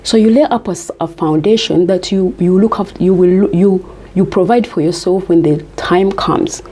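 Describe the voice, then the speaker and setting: A woman's voice: lowish voice, moderate pace, mellow. A woman from Uganda, giving earnest, emphatic life advice to camera and repeating her key points.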